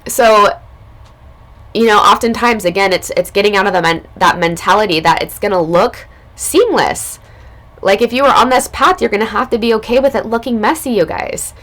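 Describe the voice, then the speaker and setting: A woman recovering from illness, her voice hoarse and raspy, telling a personal spiritual story in a casual, loose way as a podcast host. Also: medium pitch, moderate pace, fairly bright tone. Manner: casual, loose, spiritual talk with many fillers.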